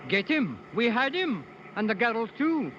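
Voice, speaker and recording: A man's voice: very high-pitched, very animated, unhurried, full character voice. An actor playing an irritable, ruthless henchman who gives bossy orders in a 1930s radio drama. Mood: angry, blaming. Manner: snappish, bossy. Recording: noisy muffled 1930s radio broadcast.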